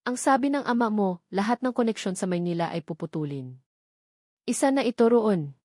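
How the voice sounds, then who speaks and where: A woman's voice: mid-range voice, animated, normal speed, dark tone, no echo, clean studio sound, natural voice. A woman playing a stern male former driver in an audiobook, speaking coldly, curtly and firmly. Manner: cold, curt, firm. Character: stern, cold young man, former family driver.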